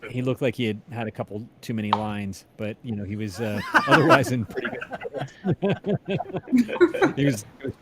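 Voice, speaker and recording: A man co-hosting a music show, telling stories in a casual, animated, halting and slangy way. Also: medium pitch, heavy background noise. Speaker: co-host of a music interview show. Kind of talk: casual chat, enthusiastic storytelling.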